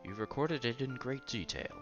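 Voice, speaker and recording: A man's voice: mid-range voice, lively intonation, moderate pace, lo-fi sound. A man reading a visual novel aloud in a casual let's-play style, sometimes stumbling over words and repeating them. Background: game music.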